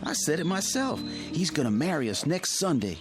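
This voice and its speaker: An actor playing an ardent, lovesick young country suitor with a Southern US accent, pressing and jealous, in a radio drama. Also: male voice, on the high side, big pitch swings, moderate pace, over music. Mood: pressing, hurt. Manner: impassioned, pressing.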